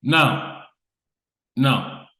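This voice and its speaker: Un hombre imparte una clase en línea con voz de tono medio, grabado en una sala con eco. Repite las preguntas y pide respuestas breves a los estudiantes. Explica paso a paso, volviendo sobre cada idea. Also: male voice, medium pitch, lively intonation, very slow, pushed and bright, echoey room. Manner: repetitive call-and-response questioning. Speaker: lecturer. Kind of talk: online biostatistics lecture.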